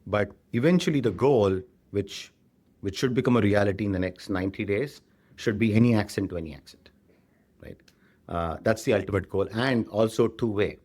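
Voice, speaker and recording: A man's voice: lowish voice, normal speed, darkish tone. A man with an Indian accent answering interview questions as a company chief, explaining conversationally and often checking in with a short tag question. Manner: frequently tags sentences with "right?". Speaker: tech company CEO being interviewed.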